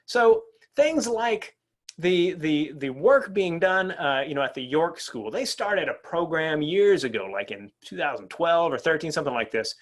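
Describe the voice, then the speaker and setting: Brief: middle-aged man, workshop presenter, bright high voice with big swings in pitch, chatty and lively. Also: a little slow. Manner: animated and conversational, asks the audience "right?". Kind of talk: energetic professional development talk.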